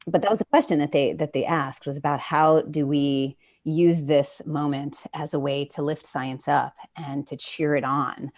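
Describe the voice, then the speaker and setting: A woman with a low voice talks as a panelist in an informal online discussion. She is emphatic and likes to act out little imagined lines to make her point. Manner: emphatic, acts out short imagined quotes. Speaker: panelist, playwright. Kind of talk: informal panel discussion over video call. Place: indoors, online video call.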